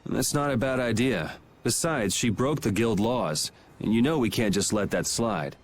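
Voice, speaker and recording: A man's voice: medium pitch, lively intonation, moderate pace, slight background noise, natural voice. A man playing a laid-back young swordsman in a video game, speaking in a dry, matter-of-fact way. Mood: calm, wry.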